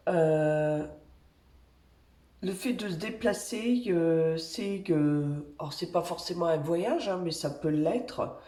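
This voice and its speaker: A woman with a cold talks through her weekly card reading in a slow, unhurried way, stopping often to search for words. Her voice is mellow and a little low, and the room around her rings with echo. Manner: gentle, reassuring, pauses often while drawing cards. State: has a cold. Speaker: energy healer giving weekly card guidance. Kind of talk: slow, hesitant spoken guidance with many fillers.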